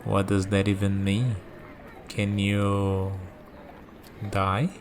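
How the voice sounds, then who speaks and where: A man playing a calm, curious villain in a scripted ASMR roleplay, musing and dryly teasing as he talks. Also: on the low side, very slow, slight background noise. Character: calm, curious young male villain bargaining with a death god.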